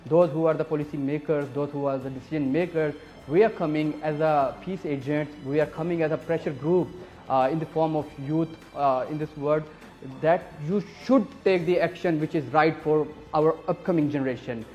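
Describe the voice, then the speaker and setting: A man's voice: on the high side, steady tone, moderate pace, a little mellow, soft background music. A man from Pakistan, the executive director of a peace group, speaking earnestly and emphatically in an interview. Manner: earnest, emphatic, lists items in rhythm. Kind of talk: advocacy interview speech.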